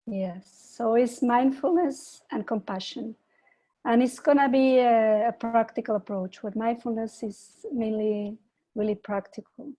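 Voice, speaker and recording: A woman from Peru, a mindfulness teacher, giving an online talk and gently guiding a meditation over a video call. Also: on the high side, steady tone, poor audio. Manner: slow, soft guiding voice with gentle invitations. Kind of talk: online presentation and guided meditation.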